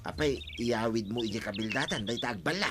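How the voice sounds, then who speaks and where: A man's voice: mid-range voice, a little fast, music in the background, lightly acted. A man playing a sensible village buddy in a comedy drama. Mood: exasperated advising. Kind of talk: broadcast comedy drama acting.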